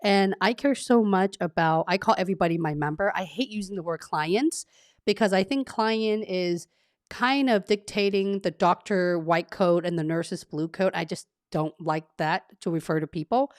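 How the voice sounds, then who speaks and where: A woman from Vietnam, talking as a career coach to her live-stream audience in an energetic, effusive way with frequent restarts. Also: fairly low pitch, moderate pace, dry recording, studio quality.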